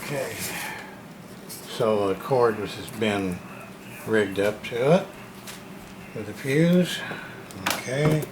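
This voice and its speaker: A man's voice: lowish voice, drawn-out words, pauses often, noisy background. A man casually narrating a hands-on unboxing as a radio repair hobbyist, talking to himself in folksy short fragments.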